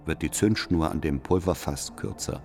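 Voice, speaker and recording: An actor playing a devout, calculating church spy in a radio drama, reading out his reports in a formal, deliberate, deferential manner. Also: a bass voice, a little mellow. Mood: deferential, watchful. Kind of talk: radio drama letter monologue. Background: faint music.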